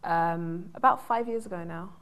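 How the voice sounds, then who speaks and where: A woman in her twenties raised in the UK, chatting as a studio guest on a talk show and telling stories in a lively, laughing way. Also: on the low side, normal speed. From United Kingdom. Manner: bubbly, laughing storyteller. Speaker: guest, dance wellness advisor. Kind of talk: casual interview chat.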